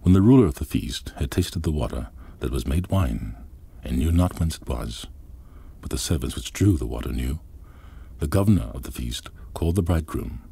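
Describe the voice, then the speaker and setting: A man reading scripture aloud in a solemn, deliberate manner. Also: rumbling low, creaky, warm tone, slightly rough, no echo. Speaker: Bible reader.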